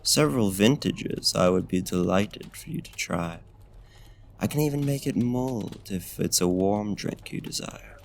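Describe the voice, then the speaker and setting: Guy doing a deep gravelly vampire gentleman, asmr roleplay, super polite n flirty, faintly menacing. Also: animated, measured pace, slight background noise. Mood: attentive, hospitable. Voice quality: low, gravelly. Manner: formal, archaic, courteous and flirtatious phrasing. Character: courtly male vampire host, smooth, gently menacing and seductive. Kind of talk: ASMR roleplay, slow and intimate.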